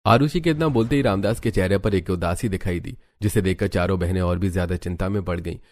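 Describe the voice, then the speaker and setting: Male narrator, low register, scripted storytelling for a family cartoon. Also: quick pace, dry recording, studio quality.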